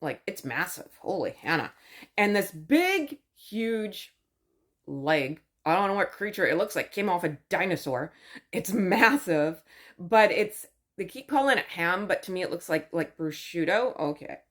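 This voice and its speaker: A woman's voice: fairly low pitch, sing-song, a little slow, fairly bright tone. A woman host giving a chatty, sarcastic recap of a show, full of wry asides. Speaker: channel host.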